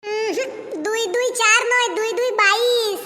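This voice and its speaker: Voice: very high-pitched, level tone, measured pace, sharp tone, reverberant, exaggerated voice. A performer voicing a cheeky cartoon schoolboy, earnest and wordy while giving absurd answers. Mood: bickering, bossy. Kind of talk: comic cartoon dialogue.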